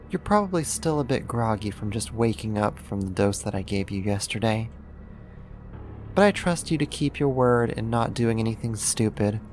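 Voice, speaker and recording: A performer voicing a possessive yandere neighbor turned kidnapper in an intimate ASMR roleplay, coaxing and doting with a quiet menace and deliberate pauses. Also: medium pitch, slight background noise, natural voice.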